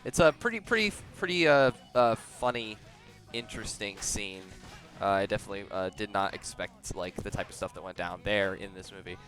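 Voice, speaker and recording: Young man, bright forceful voice, casual reviewer talk, stop-start phrasing with repeated words, close mic, faint background music. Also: fairly low pitch, animated. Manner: halting, repeats and restarts words often. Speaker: video reviewer. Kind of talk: casual movie review chat. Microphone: very close to the mouth. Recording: close, hot microphone.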